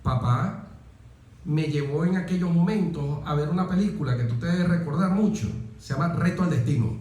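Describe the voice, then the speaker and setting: A man from Venezuela with a Venezuelan accent, telling personal stories as an interview guest, phrasing deliberately and adding little tag questions. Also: a little high, a little mellow. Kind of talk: reflective personal storytelling in an interview. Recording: very echoey, reverberant room.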